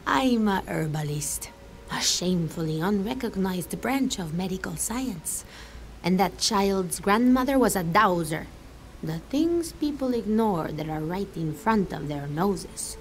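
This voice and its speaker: An outspoken village herbalist in a video game, sharp but caring. Her mid-range voice is forthright and dignified, over faint ambient noise. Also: mid-range voice, animated, unhurried, poor audio. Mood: indignant. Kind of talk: video game character dialogue.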